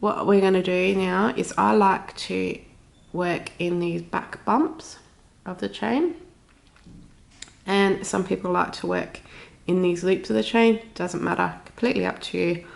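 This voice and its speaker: A woman's voice: on the low side, gravelly. A woman with a cold and a snuffly nose, chatting informally through a step-by-step craft tutorial. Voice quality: snuffly, slightly stuffed-up nose. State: has a cold. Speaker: crochet tutorial host.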